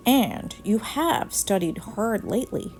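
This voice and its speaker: Female narrator as cheerful, reassuring male teacher, storybook read, expressive pitch. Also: slow pace, vocal fry, heavily acted, low-quality recording. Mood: calm, reassuring. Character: friendly male schoolteacher, adult, calm and cheerful. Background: music.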